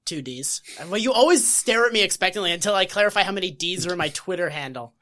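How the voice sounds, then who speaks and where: A woman's voice: lowish voice, lively intonation, fast, fairly bright tone. A woman co-hosting a podcast, joking around and swearing casually. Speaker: podcast co-host.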